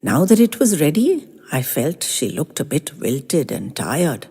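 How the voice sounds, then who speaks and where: A woman narrating a first-person story in a gentle, expressive reading with lingering phrases. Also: deep voice, unhurried, husky, in a large hall.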